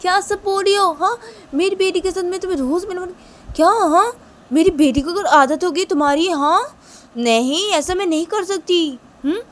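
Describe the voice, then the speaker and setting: Woman putting on a firm boss lady, rich mother, steady, questioning, low. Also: normal speed, natural voice. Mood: irritated. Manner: firm, questioning, then reassuring. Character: strict wealthy mother, female boss, firm but kind.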